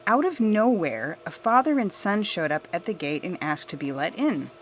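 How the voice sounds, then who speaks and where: A woman's voice: fairly low pitch, very animated, moderate pace, a little hiss or hum. A woman playing a self-righteous, suspicious suburban mother, telling her tale in a gossipy, indignant way for an audio story. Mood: smug. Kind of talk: scripted audio fiction monologue.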